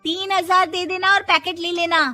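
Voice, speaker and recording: A spoiled daughter in her twenties, played by a woman storyteller, pitched high and petulant. She whines and orders her family around in a storybook drama, with music underneath. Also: flat delivery, normal speed, bright, forceful tone. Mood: bossy. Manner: whiny, bossy, petulant.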